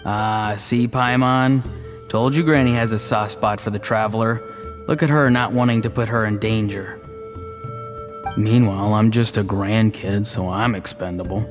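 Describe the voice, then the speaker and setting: A man's voice: fairly low pitch, fairly even intonation, normal speed, close to an everyday voice. Man playing an easygoing young grandson, reverberant voice. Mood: teasing. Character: easygoing, thoughtful young tribesman, cheeky grandson. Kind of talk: video game voice acting. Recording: reverberant, soft music behind.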